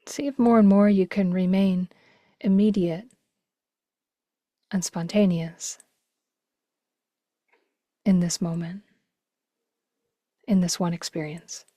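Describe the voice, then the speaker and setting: A woman leading a guided meditation slowly, in a gentle, hushed, breathy voice with long pauses. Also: fairly low pitch, steady tone, dry recording. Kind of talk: guided meditation, slow and spacious.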